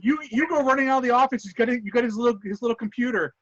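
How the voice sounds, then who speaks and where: A man's voice: very high voice, very fast, lo-fi sound, background noise. A man running a tabletop roleplaying game as game master, narrating animatedly and laughing often.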